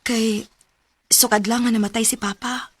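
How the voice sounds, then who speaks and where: A woman's voice: fairly high pitch, little pitch movement, moderate pace, no echo, natural voice. An actress playing a devoted, grateful young woman in love, tender and sincere, in a radio drama with a Cebuano accent.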